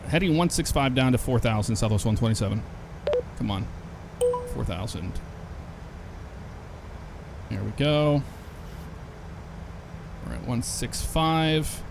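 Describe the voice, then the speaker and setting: A man's voice: mid-range voice, creaky, background noise. A man hosting a flight sim channel, chatting casually through his flight and reading back radio calls to the controller. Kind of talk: casual gameplay commentary.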